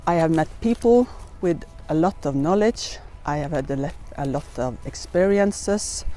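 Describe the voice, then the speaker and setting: A woman with a Norwegian accent gives a testimonial in non-native English. Her voice is warm and mellow, on the dark side. Faint music plays behind her. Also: low-pitched. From Norway. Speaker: agricultural business advisor.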